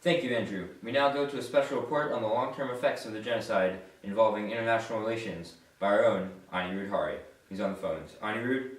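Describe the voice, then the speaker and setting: A man's voice: on the low side, fast, hardly any pauses. A young man reading a scripted news report as a student anchor. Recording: echoey room, distant mic.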